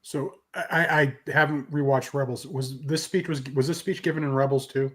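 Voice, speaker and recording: A man's voice: medium pitch, steady tone, moderate pace. A man on a fan panel, talking casually in a joking, informal manner. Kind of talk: casual chat.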